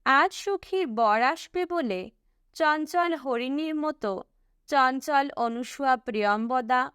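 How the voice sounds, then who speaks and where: Slow, clear-voiced woman storyteller. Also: high voice, a bit bright, dry recording, studio quality. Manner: measured, deliberate storytelling delivery. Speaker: audiobook narrator. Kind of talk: scripted storybook narration.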